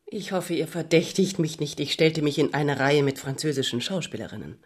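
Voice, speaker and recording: Proud, low-voiced noblewoman. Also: lively intonation, a little mellow. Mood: proud, defiant. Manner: formal, measured, dignified courtly speech. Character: proud, cool, self-assured young noblewoman at court. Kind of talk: radio drama acting.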